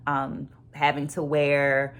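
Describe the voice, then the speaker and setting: A woman with a slightly low voice speaks as the host of an online book club. She presents her notes and thoughts deliberately, leaning on key phrases and repeating them for emphasis. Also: completely flat delivery, fairly bright tone. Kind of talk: book discussion vlog with takeaways and read quotes.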